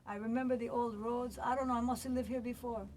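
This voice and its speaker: A woman in her sixties, raised in the United States and long settled in Jamaica, whose Jamaican-tinged accent colours her chatty, relaxed stories as an interview guest. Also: a little high, fast, a little hiss or hum. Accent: Jamaican-tinged American. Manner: chatty, relaxed, laughs easily. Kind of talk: casual interview storytelling.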